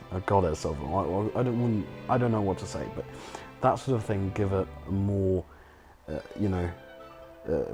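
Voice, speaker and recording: Young guy from china, deep low voice, lots of ums and restarts, music playing under him. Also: darkish tone. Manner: hesitant, many restarts and fillers, reflective. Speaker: filmmaker and home cook. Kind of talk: documentary interview.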